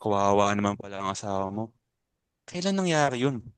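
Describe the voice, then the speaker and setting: A man's voice: lowish voice, expressive, a little fast, clear, resonant tone, no echo, natural voice. A Filipino man playing a blunt, nosy, grumbling club uncle in a dramatic reading. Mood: curious.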